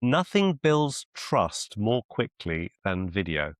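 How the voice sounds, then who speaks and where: A man coaching one-to-one on a video call as a mentor, deliberate and encouraging, often repeating phrases for emphasis. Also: fairly low pitch, very animated, no echo, clean studio sound. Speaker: business mentor and clinician.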